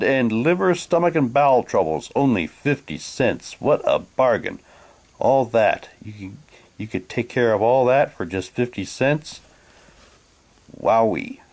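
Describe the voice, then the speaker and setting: A man doing a casual unboxing, talking in a halting way and reading packaging text aloud like a hammy radio announcer. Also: mid-range voice, a little mellow. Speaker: vlogger unboxing a collectible audio drama CD.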